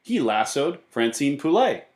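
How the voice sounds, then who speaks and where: A man narrating a children's book as an animated storybook reader. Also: mid-range voice, unhurried. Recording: roomy, echoey sound.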